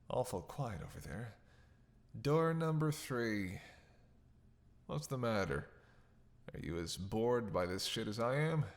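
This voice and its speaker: A man playing a snarky, defiant captive vampire in a roleplay audio drama, sarcastic, teasing and theatrical, with a drawl. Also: mid-range voice. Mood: bored, taunting.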